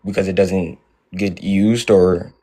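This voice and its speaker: A nineteen-year-old man calling into a live stream, easygoing and quick, arguing his point. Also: low-pitched, steady tone, mellow. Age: young adult. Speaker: caller.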